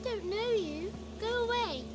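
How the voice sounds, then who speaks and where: Very high-pitched cheeky peasant child. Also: female voice, animated, a little slow, over music. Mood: defiant. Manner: rustic, chanting, blunt. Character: cheeky, blunt little peasant child of the swamp. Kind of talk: video game acting.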